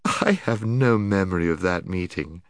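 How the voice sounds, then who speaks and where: A man voicing a sweet, coaxing, half-mocking witch queen in a storybook, with soft, slow, courtly speech. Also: deep voice, dry recording, clean studio sound. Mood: coaxing, amused. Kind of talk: dramatised storybook reading.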